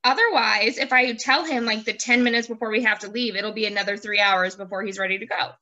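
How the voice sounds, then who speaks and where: A woman's voice: mid-range voice, fairly even intonation, quick pace, sharp tone, dull audio. A woman hosting a casual chat, speaking in a playful, joking way peppered with "like". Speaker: host, interviewer. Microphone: video call.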